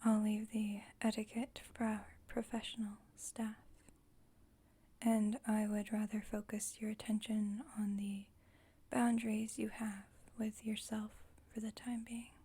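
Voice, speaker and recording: Woman acting as a calm vampire coven leader lady, breathy asmr whisper, slow and even, formal but caring. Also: mid-range voice. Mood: gentle, reassuring. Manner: gentle, measured, formal. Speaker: ASMR roleplay voice performer.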